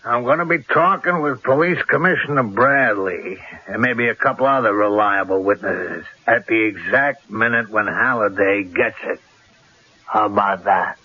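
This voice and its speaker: An actor playing a menacing, mocking crime boss in a radio drama, with rough street-tough, colloquial diction. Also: male voice, on the low side, moderate pace. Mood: cold, commanding. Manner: threatening, taunting, colloquial. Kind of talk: 1970s radio drama acting. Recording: muffled old radio drama broadcast.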